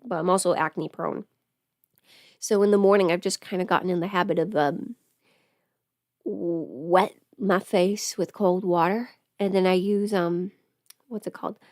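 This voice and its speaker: A woman's voice: lowish voice, steady tone, measured pace, darkish tone, dry recording, studio quality. A woman livestreamer chatting casually while getting ready, rambling and interrupting herself as she thinks aloud.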